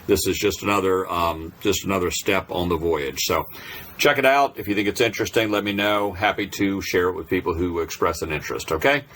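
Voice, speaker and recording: A man's voice: low voice, fairly quick, a bit bright, slight background noise. A man narrating an informal, unscripted tutorial, explaining step by step in a hesitant way. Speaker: presenter of a software tutorial.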